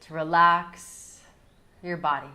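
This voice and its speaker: A woman guides a relaxation meditation in a slightly low voice. She speaks very slowly and calmly, leaving long pauses between soothing phrases. Her delivery is unhurried, as a yoga nidra teacher would give it. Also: muffled recording.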